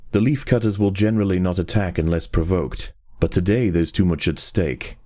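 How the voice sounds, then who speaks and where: A man playing a solemn nature documentary narrator in a video game, measured, grave and formal. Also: low voice, mellow, close to an everyday voice.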